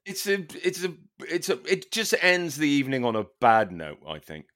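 A man's voice: a little high, huge pitch swings, moderate pace, a bit bright. A man co-hosting a podcast, teasing dryly in casual comic chat. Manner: dry, teasing, playful. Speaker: podcast co-host.

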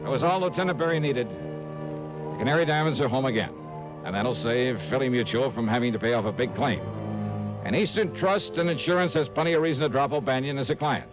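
A man's voice: medium pitch, expressive, fairly quick, over music, natural voice. An actor playing a shrewd, confident male investigator in a 1960s radio drama, with a wry, punchy delivery. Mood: brisk, satisfied. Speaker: lead actor and narrator. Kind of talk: 1960s radio detective acting. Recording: muffled old radio broadcast recording.

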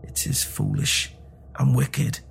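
A man voicing a frightened, weary old husband in a story, tender but horrified, with shaky, halting speech. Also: medium pitch, lively intonation, vocal fry, a little mellow, slight background noise. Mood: weak, reluctant.

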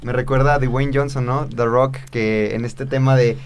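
A man's voice: mid-range voice, steady tone, moderate pace, crowd noise. A man hosting a casual interview, thinking aloud and repeating words as he gathers his thoughts. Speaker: interview host.

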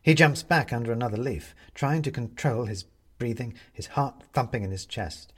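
A male audiobook narrator reads a scripted tale dramatically. His delivery is expressive and very varied in pitch, with a slightly husky edge to the voice. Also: normal speed.

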